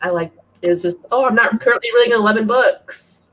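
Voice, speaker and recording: A woman's voice: a little high, fairly quick, a bit bright, rough recording. A woman talking casually as a guest in a live group chat about books. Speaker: guest on a live book show.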